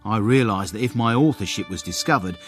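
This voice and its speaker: A man's voice: on the low side, brisk, faint music behind, lightly acted. An actor playing a wry, deferential English footman and secret author, narrating and acting in a radio comedy-drama. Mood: apprehensive narration.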